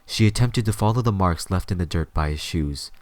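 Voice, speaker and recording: A man's voice: deep voice, fairly quick, a little mellow, no room sound. A man reading scripted story narration as the narrator of an audio drama.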